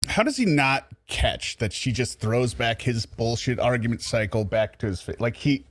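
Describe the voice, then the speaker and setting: A man's voice: medium pitch, animated, normal speed, dry recording. A man giving casual, informal reaction commentary as a cohost, with a wry, mocking manner.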